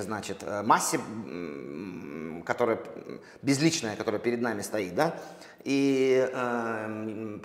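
A man from Russia, a poet, answering interview questions slowly and thoughtfully, with many pauses and restarts. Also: mid-range voice. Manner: halting, many fillers and restarts. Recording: echoey room with long reverb.

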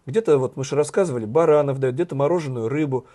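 A man with a hoarse voice co-hosting a satirical news chat, talking in a sardonic, ironic way. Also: mid-range voice, fast talker, warm tone. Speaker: co-host, journalist.

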